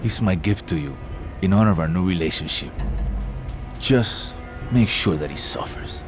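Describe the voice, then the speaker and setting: A menacing dictator villain in a game cutscene, smug and commanding. The voice is deep and sits under loud music. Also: male voice, lively intonation, normal speed. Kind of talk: video game cutscene acting.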